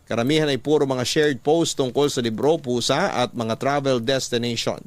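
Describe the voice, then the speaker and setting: A man's voice: medium pitch, normal speed, no echo. A man with a Filipino accent reading a first-person love story in a classic radio storytelling style. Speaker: narrator reading a love letter.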